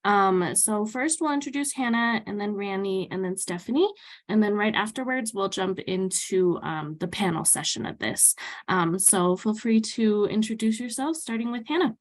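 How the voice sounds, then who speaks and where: A woman's voice: mid-range voice, lively intonation, normal speed. Upbeat woman moderating a panel. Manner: upbeat, organized.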